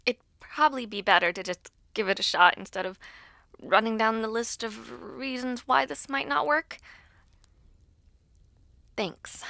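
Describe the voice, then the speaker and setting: A woman's voice: a little high, bright, forceful tone, no echo, exaggerated voice. Casting: a woman as a fretful, eager schoolgirl spellcaster for audio roleplay, hesitant and stammering, recorded dry and close. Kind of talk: audio roleplay acting, addressing the listener.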